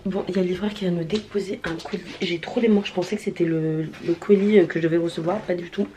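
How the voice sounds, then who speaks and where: A woman's voice: lowish voice, fairly even intonation, quick pace, warm tone, slight background noise. A woman in her late twenties from southern France, chatting casually in a vlog with many fillers and self-mocking asides. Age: late 20s. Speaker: vlogger. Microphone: handheld camera.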